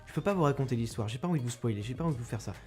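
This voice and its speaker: A man's voice: medium pitch, expressive, very fast, darkish tone, soft background music. A young man giving a casual spoken film review, rambling and self-mocking with many restarts, close to a microphone.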